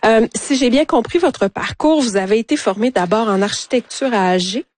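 A woman hosting a radio interview, asking brisk, friendly questions. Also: on the high side, animated, a little mellow. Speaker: radio host.

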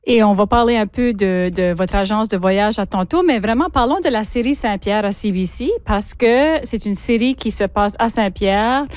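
A woman's voice: mid-range voice, moderate pace, hardly any pauses, darkish tone, clean tone, dry recording, clean studio sound. A woman, a radio reporter asking friendly conversational questions in an interview.

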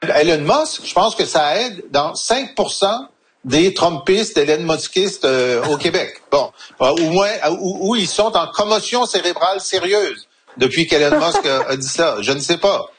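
A man's voice: a little high, lively intonation, a bit bright. A man commenting on a TV panel, building his point through extended metaphors. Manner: figurative, measured argument.

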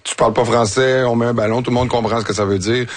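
A man's voice: mid-range voice, steady tone, quick pace, dry recording. A man with a Quebec French accent, talking casually as a guest in a panel discussion.

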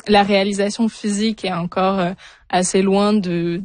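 A young woman from France talks through her answers at an even, middle pitch, stopping and starting with frequent fillers. Her voice sits close and dry, with no room sound around it. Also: normal speed, dry recording. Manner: hesitant, many fillers and restarts. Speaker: interview guest, new PhD in physics. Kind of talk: radio interview, casual answers.

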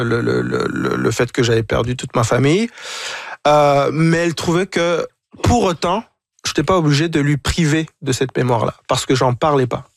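A man, a guest on a talk show, speaking reflectively and haltingly, often repeating words as he searches for them. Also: on the high side, dry recording, clean studio sound. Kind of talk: reflective conversation.

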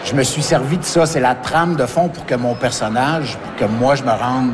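A man in his fifties with a Québécois accent, a writer and forest firefighter talking in a radio interview, halting with many restarts and fillers. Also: mid-range voice, noisy background. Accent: Québécois French. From Quebec.

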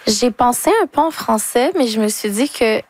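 Young Québécois woman, relaxed chat. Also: a little high, normal speed. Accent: Québécois French. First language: French. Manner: relaxed, mixes English words into French. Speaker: R&B singer, interview guest. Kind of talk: casual interview conversation.

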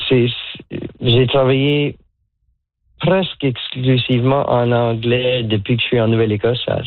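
A man's voice: medium pitch, no room sound. A man in his sixties from Moncton, talking as a guest in a radio phone interview, hesitant, with many fillers and restarts. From Moncton, Canada. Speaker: guest, pickleball coach. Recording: tinny dry phone line.

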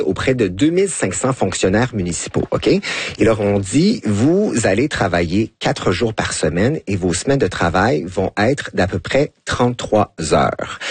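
A man's voice: fairly low pitch, moderate pace, slightly rough, no room sound. A man with a Québécois French accent, giving an emphatic column on a radio panel. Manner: animated, emphatic delivery.

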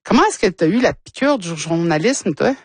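A woman's voice: on the low side, expressive, normal speed, darkish tone, slightly rough, dry recording. A woman with a Quebec French accent hosting a conversational interview, asking her guests questions in a friendly, chatty way. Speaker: interview host.

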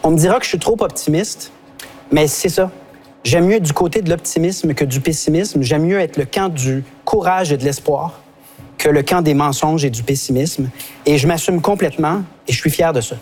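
Quebec guy in a debate, kinda high voice, big rhetorical and passionate. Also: a little mellow, breathy edge. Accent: Quebec French. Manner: impassioned, rhetorical, measured. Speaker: party leader in a debate. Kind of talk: debate argument.

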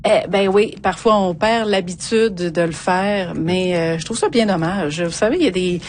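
A woman's voice: on the low side, normal speed, a little mellow, a little hiss or hum. A middle-aged woman co-hosting a radio show, chatting casually and putting questions to her colleagues. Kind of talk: casual on-air chat.

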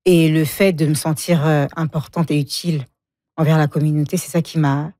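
A woman's voice: low-pitched, steady tone, normal speed, warm tone, dry recording, studio quality. A woman in her forties from Congo, a guest speaking frankly about her life and often laughing. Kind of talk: emotional personal interview.

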